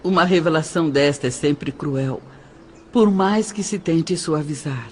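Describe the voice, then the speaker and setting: A woman playing a practical middle-aged adoptive mother in an audio drama, explaining in measured phrases with emphatic pauses. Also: low voice, a little hiss or hum. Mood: firm, consoling. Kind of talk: dramatized audio novel dialogue.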